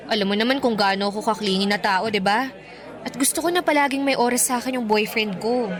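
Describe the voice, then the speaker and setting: High-pitched, plaintive young girlfriend played by an actress. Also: a bit bright, close to an everyday voice. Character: clingy, conflicted young woman venting about her boyfriend. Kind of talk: radio drama acting. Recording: music bed behind.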